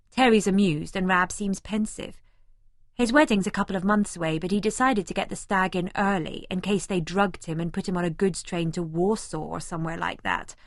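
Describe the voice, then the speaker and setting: A woman's voice: medium pitch, dry recording. Woman narrating a novel, close and dry. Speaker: audiobook narrator. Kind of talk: first-person scripted narration. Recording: remastered audiobook, close and dry.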